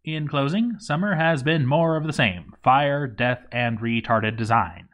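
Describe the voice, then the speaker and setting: A man's voice: medium pitch, unhurried, fairly bright tone. A man reading a scripted story as narrator, with a wry, sardonic, sweary comic delivery. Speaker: narrator reading a game playthrough log.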